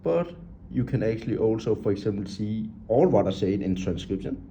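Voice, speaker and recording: A man walks through an app on screen in an unscripted way, halting and talkative as he points out each item. His English has loose, non-native grammar. His voice sits low and sounds very dark and mellow. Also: lively intonation, gravelly, a little hiss or hum. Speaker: app tutorial presenter.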